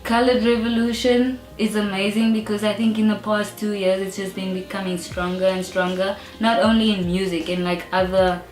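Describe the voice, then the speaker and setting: A woman's voice: mid-range voice, little pitch movement, normal speed, few pauses. A young South African woman, a rap artist, talking openly and emphatically in an interview, often adding "you know". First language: English. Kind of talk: casual interview talk. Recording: roomy echo with faint music behind.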